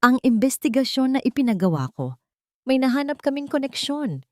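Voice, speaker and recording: A woman voicing a serious male investigator in an audio drama, speaking deliberately and directly. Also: high-pitched, very animated, dark tone, dry recording, studio quality, voice pushed up. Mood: grave, direct.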